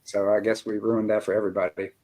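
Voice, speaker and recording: A man's voice: fairly low pitch, little pitch movement, fast, darkish tone, low-quality recording. A man about to turn fifty, hosting a casual interview with friendly, joking asides. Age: 40s. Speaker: interview host.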